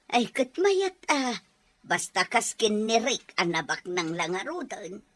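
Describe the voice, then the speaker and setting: A woman playing a fond older mother giving advice in a family drama, husky, with an Ilocano accent. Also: mid-range voice, very animated, a little slow. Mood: gossipy.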